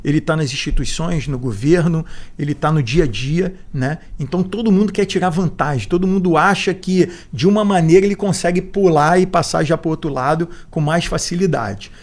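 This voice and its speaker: A man's voice: a little high, brisk. Reflective Brazilian man in his forties. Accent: Brazilian Portuguese. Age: 40s. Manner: reflective, repeats words as he thinks, frequent "né?". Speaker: guest. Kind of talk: podcast interview.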